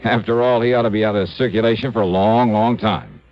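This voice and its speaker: An actor playing a wry, tough male insurance investigator in a 1950s radio drama, dry narration and brisk talk. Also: lowish voice, natural voice. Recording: dull old radio broadcast with faint music.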